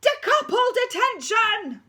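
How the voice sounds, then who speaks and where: A woman's voice: very high voice, big pitch swings, slow, pushed and bright, pitched above the performer's own voice, heavily acted. A woman voicing a furious old female deputy head teacher who shrieks and bellows detentions. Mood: ranting.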